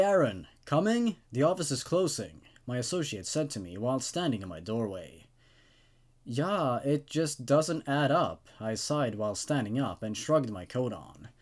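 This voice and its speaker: Guy narrating creepy stories and chatting about them, really animated pitch, informal. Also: slightly rough. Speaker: host narrating horror stories. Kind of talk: horror story reading with casual commentary.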